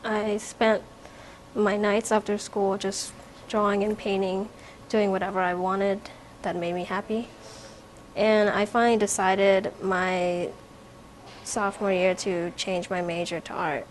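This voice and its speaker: A woman's voice: mid-range voice, level tone, a little slow. Young woman, reflective, over background noise. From from Vietnam, raised in America from age four. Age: young adult. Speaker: art student and painter. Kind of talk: informal spoken artist profile.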